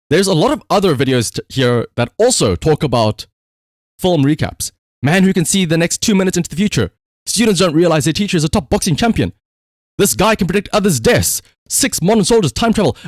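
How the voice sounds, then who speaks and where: A man's voice: high-pitched, sing-song, normal speed, dry recording, clean studio sound. A man livestreaming casual commentary, telling a story conversationally with rhetorical asides.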